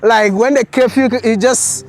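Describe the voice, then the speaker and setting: A woman's voice: a little high, fairly even intonation, unhurried, faint music behind. A woman hosting a travel vlog in a casual, enthusiastic, exclamatory way.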